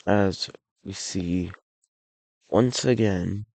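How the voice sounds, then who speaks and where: A man with a low, gravelly voice talks through a map video in a relaxed, wandering way, stopping and restarting as he goes. He sounds hoarse and a little unwell. The recording is dry and close, with no room sound. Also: very slow, no room sound. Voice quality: raspy. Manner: rambling, with frequent restarts and self-corrections. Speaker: alternate-history map series creator. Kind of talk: casual map narration.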